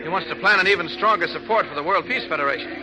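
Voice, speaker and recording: A man playing an alert, helpful newspaper reporter in a brisk, straightforward 1940s radio acting style. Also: high-pitched, natural voice, rough recording. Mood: concerned. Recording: vintage radio recording.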